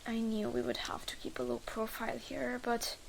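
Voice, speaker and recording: A woman's voice: fairly high pitch, very animated, moderate pace, creaky, slight background noise, low-quality recording. A woman playing a wily, menacing thief in a scripted fantasy audio roleplay, with a theatrical delivery. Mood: hushed, plotting.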